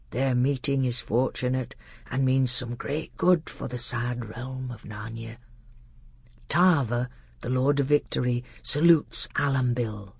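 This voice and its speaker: Reader acting old male tutor, storybook character, hushed, grave, secretive, deliberate, crisp, dry close sound. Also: medium pitch, dry recording, full character voice. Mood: hushed, solemn. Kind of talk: audiobook character voice.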